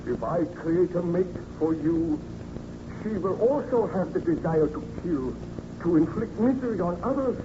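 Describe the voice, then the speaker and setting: An actor playing a remorseful baron who created a monster, speaking earnestly and formally in old-time radio drama style. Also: male voice, high voice, expressive, unhurried. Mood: anguished. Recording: noisy, muffled old radio recording.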